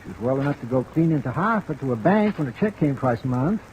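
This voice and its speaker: An actor playing a plain-spoken rural convalescent home keeper in an old-time radio drama, dry, clipped and countrified. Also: male voice, mid-range voice, animated, quick pace, dull audio, natural voice. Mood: dry, grumbling. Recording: old radio recording under rain noise.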